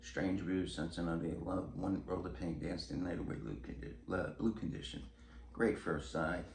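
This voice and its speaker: Deep-voiced older man in an echoey room. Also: steady tone, moderate pace. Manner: rambling, chuckly, blunt. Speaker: host of a music collecting vlog. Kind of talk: casual show-and-tell chat. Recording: roomy echo with clear background noise.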